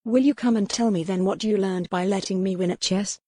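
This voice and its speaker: A woman's voice: mid-range voice, fairly quick, dark tone, no room sound, clean studio sound, natural voice. A woman voicing a proud, defiant captive noblewoman in a historical romance audiobook, speaking in a formal, pointed way. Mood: angry, bitter.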